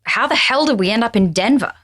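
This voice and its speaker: Husky, defiant captive in reverb. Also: female voice, fairly high pitch, expressive, fast, a bit bright. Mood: sardonic. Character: defiant, sardonic captive young woman, scared but tough. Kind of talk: audio drama acting. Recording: long hollow reverb.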